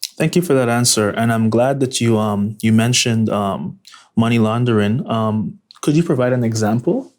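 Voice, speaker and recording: A man's voice: mid-range voice, moderate pace, darkish tone. A man hosting an interview, asking polite, formal, prepared questions. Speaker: interview host.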